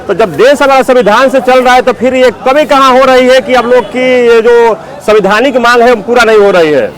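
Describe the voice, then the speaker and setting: A man with a very high-pitched voice interviews someone as a street reporter. He speaks in questioning news-interview style, recorded outdoors. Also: a little fast, some chatter behind.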